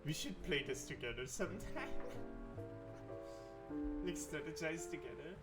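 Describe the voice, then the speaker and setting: A man hosting a casual interview podcast, excitable and quick to exclaim. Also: on the high side, loud music behind the voice. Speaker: podcast host.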